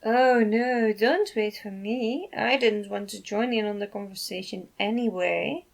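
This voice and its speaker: A woman's voice: mid-range voice, measured pace, gravelly. An aloof, dryly sarcastic story character, played by a woman. The words are drawled with cool mockery.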